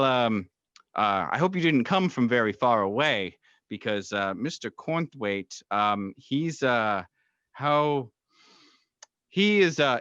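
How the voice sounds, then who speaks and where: A man's voice: mid-range voice, fairly bright tone, no room sound, muffled recording. A man voicing a nervous, evasive man in a gray suit who stammers and hesitates over every word.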